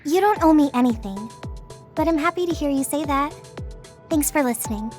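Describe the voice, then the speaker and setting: A young female soldier in a video game cutscene. Her voice is high and swings expressively, sincere with a bashful edge, as a devoted friend. Faint music runs underneath. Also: lively intonation, normal speed, darkish tone. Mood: resolved, grateful. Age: young adult. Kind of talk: English video game voice acting.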